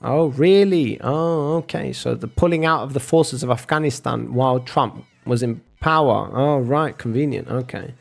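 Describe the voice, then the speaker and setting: A man's voice: medium pitch, normal speed, gravelly, few pauses. A man in a casual argumentative rant, sarcastic and sweary, calling the listener bro. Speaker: streamer arguing with a chat viewer.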